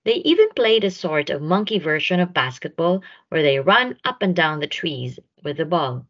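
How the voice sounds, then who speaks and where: A woman narrating a children's picture book aloud in an expressive way, with drawn-out emphasis for young listeners. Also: low voice, muffled recording. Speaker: storyteller reading a picture book.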